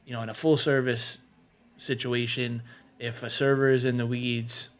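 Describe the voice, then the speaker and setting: A man's voice: medium pitch, flat delivery, normal speed. Man giving casual, explanatory advice. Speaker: podcast panelist giving restaurant management advice.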